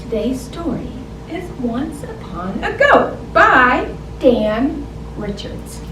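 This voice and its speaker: A woman's voice: high voice, very animated, takes it slowly. A woman storyteller reading a picture book to young children in a sing-song, child-directed way. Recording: roomy, distant mic, faint noise.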